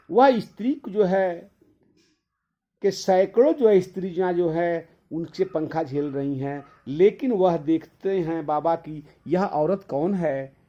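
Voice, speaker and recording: A man with a somewhat high voice delivers a devotional discourse at a very slow pace. He tells the scriptural story in halting phrases that often circle back and repeat. The sound is dull and lo-fi. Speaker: religious storyteller.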